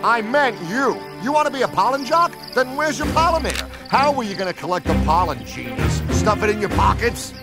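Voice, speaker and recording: A man's voice: sits high, very animated, brisk, hardly any pauses, over music. A man voicing a tough, scornful veteran cartoon bee who taunts as he talks. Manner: mocking, taunting delivery.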